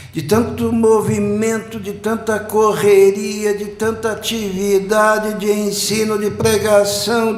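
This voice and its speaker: A man, preaching a sermon slowly and emphatically, building up repeated phrases. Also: sits high. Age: 60s. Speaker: pastor. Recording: echoey church room.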